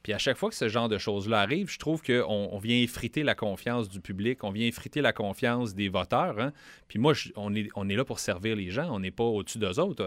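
Earnest Quebec man, low dry voice. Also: a bit bright, no echo. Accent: Quebec French. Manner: earnest, repeats words when starting sentences. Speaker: federal member of parliament, guest. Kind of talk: radio interview, casual political talk.

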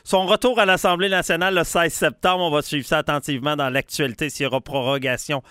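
A radio host, speaking quickly and crisply in a bright, fairly high voice. The studio sound is close and dry. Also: male voice, fairly even intonation, dry recording. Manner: brisk, bright broadcaster delivery.

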